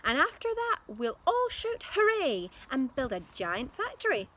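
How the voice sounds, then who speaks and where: A woman voicing an excitable, bossy, impatient father in a children's storybook, full of urgent exclamations. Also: high-pitched, measured pace, voice pushed up, full character voice. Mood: excited, impatient. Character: excitable impatient father, adult man, booming with enthusiasm.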